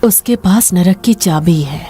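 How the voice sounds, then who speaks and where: Woman as a mellow, guarded sorceress. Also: on the low side, a little slow, faint music behind, natural voice. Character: guarded sorceress, calm and secretive. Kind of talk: dramatic fantasy game dub.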